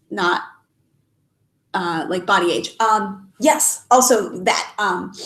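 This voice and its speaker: A young adult woman, stuffed up and sniffly, chatting casually on a live stream and answering questions with many ums and restarts. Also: mid-range voice. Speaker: YouTuber answering live chat. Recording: echoey room.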